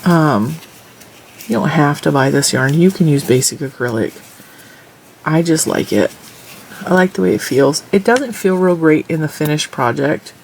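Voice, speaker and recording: A woman's voice: deep voice, lively intonation, normal speed, gravelly, a little mellow, a little hiss or hum, poor audio. Congested woman chatting through a crochet tutorial. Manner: chatty, talks while counting stitches and rows. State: congested, stuffy nose. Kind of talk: casual crochet tutorial with chat.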